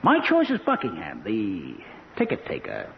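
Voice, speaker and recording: Commanding scientist in long reverb. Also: male voice, sits low, wildly swooping pitch, vocal fry, a little hiss or hum, full character voice. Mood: deliberating. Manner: formal, measured, grave, commanding. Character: authoritative, deliberate male scientist directing a secret experiment. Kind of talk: vintage radio drama acting. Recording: muffled old radio recording, long reverb.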